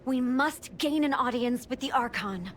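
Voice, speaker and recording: A woman's voice: sits high, unhurried, slight background noise. A woman playing an earnest, dutiful young celestial aspirant in a fantasy game. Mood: earnest, concerned. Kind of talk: fantasy video game voice acting. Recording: slightly distant, music behind.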